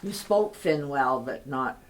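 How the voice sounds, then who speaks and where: An interviewer with a mid-pitched voice who asks gentle questions. Short acknowledgements keep an older guest talking about his memories. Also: animated, takes it slowly, a little hiss or hum. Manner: brief encouraging prompts. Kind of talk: oral history interview.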